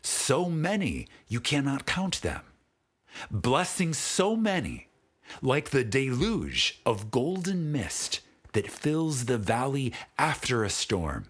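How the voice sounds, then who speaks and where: Slow, husky man reading a spiritual audiobook. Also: medium pitch. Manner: solemn, measured, with long pauses. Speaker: audiobook narrator.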